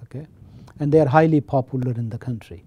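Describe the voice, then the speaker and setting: A man's voice: medium pitch, quick pace, mellow, breathy edge, dull audio. A middle-aged man from Pakistan with a Pakistani accent, earnestly explaining ideas in an interview as a college teacher and chaplain. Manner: earnest, explanatory, repeats key phrases. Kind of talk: interview talk.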